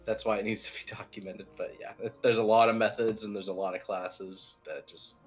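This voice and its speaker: A man's voice: fairly low pitch, steady tone, brisk, faint music behind. A man giving an informal technical tutorial as team lead, explaining things in a loose, rambling way. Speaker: software team lead, instructor.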